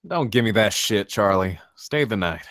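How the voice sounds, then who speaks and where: A man plays a tough, guarded man with a generous streak in a screenplay table read. His voice sits a bit low and he keeps his delivery terse and offhand. The sound is close and dry. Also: expressive, moderate pace, a bit bright, no echo. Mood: friendly, insistent.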